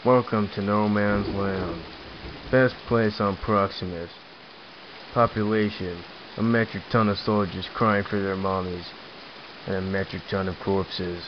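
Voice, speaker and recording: Man playing a low-voiced, callous, cynical soldier. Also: fairly even intonation, unhurried, lightly acted. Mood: cold, sardonic. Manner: blunt, sardonic. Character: callous, cynical, mocking soldier hardened by war. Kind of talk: audio drama acting. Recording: muffled, noisy with rain-like hiss.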